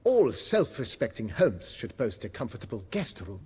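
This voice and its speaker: A man playing a deferential, fussy butler in a video game, with a breathy, husky voice and formal, florid, obsequious speech. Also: mid-range voice, very animated, normal speed, darkish tone, big-room sound. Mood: eager, deferential. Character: deferential, fussy, eloquent male butler of an estate.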